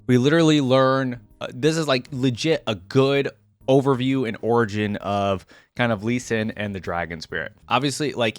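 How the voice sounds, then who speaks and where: A man's voice: medium pitch, dry recording. A man hosting a reaction video, reading game lore aloud expressively and adding casual, informal commentary.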